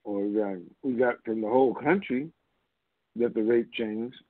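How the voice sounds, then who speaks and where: A man's voice: mid-range voice, moderate pace, dry recording. A man hosting a casual question-and-answer conference call, talking in a blunt, offhand, informal way over a phone line.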